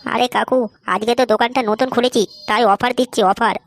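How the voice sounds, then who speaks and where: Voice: mid-range voice, little pitch movement, quick pace, creaky, close to an everyday voice. An actor voicing a hapless, crude, complaining young married slacker in a comedy cartoon, speaking. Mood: flustered. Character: hapless foul-mouthed young married man, jobless, whining slacker.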